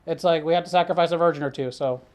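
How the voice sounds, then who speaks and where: A man's voice: fairly high pitch, rapid-fire, a little mellow. A man chatting casually as a talk show guest, telling stories in a laid-back, sardonic way with plenty of swearing. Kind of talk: casual chat, storytelling.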